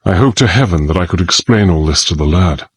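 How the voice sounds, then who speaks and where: An actor playing a resolute middle-aged gentleman adventurer, narrating his exploits in measured, formal, old-fashioned phrasing. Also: low voice, animated, husky, no room sound, heavily acted. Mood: grave, reflective. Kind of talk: dramatised first-person narration and dialogue.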